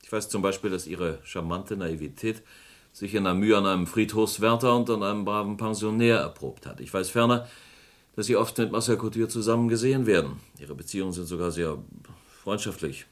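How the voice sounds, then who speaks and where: Male actor as stern inspector for radio crime play: deep voice, formal, measured, insinuating. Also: lightly acted. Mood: stern, probing. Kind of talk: German radio crime drama acting.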